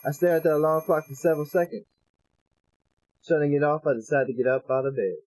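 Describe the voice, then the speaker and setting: A man's voice: on the high side, fairly even intonation, quick pace, a little mellow, dry recording, soft background music, poor audio. A man reading visual novel text aloud for a let's play, with casual slangy asides.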